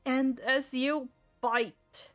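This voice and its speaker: Woman game master, high pitched, measured pace, narrating tabletop roleplay over soft music. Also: fairly even intonation.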